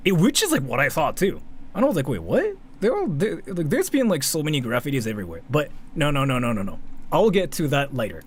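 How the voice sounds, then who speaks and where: A man with a Japanese accent talks in a fairly high, very animated voice. He rambles through casual commentary, looping back and repeating himself. Faint noise sits behind him. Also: quick pace. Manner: rambling, repetitive, self-interrupting, swears casually. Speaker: streamer, commentary host. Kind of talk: casual live reaction commentary.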